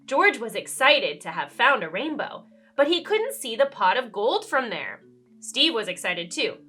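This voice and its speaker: A woman's voice: on the high side, big pitch swings, a little slow, bright, forceful tone, faint music behind. A woman storyteller reading a children's book aloud expressively, with dramatic emphasis and questions to the listener.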